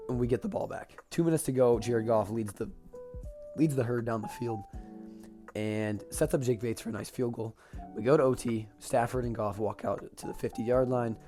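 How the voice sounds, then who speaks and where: A young man with a raspy voice, getting over an illness, excitedly recapping a football game as a podcast host, with casual fillers. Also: lowish voice. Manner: excited fan talk with fillers.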